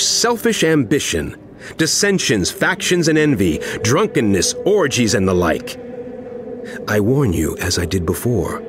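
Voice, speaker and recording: Solemn, expressive apostle over music. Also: male voice, fairly low pitch. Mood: solemn, emphatic, then stern warning. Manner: earnest, solemn, emphatic. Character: earnest, admonishing apostle writing a letter to believers. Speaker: audio Bible narrator. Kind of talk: dramatized scripture reading.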